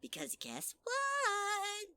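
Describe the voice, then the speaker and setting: An actor playing a manipulative, sinister flower creature, with a sweet and mocking singsong delivery in a fan audio drama. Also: male voice, very high voice, wildly swooping pitch, drawn-out words, bright, forceful tone, exaggerated voice. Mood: menacing.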